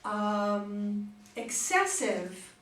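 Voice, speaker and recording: A woman's voice: medium pitch, sing-song, drawn-out words, a bit bright. A woman talking casually as the organizer of a play-reading group discussion. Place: indoor room at a group meeting. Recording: roomy, distant mic with background noise.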